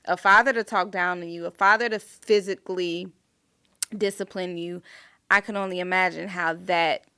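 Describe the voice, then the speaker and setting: Bright, gravelly-voiced woman. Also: lowish voice, steady tone, moderate pace. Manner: reflective, affirming, backchannels often. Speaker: podcast co-host. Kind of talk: casual podcast chat.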